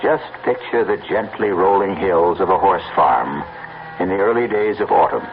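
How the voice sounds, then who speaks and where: A man's voice: low-pitched, expressive, moderate pace, vocal fry, slight background noise. An American man hosting and narrating a mystery radio drama with dramatic scripted delivery, on a muffled old broadcast.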